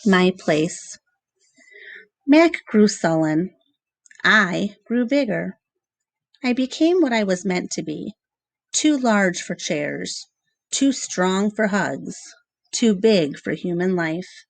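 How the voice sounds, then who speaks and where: A woman reading a children's novel aloud in measured, expressive storybook narration with short pauses between phrases. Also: medium pitch, creaky, dry recording.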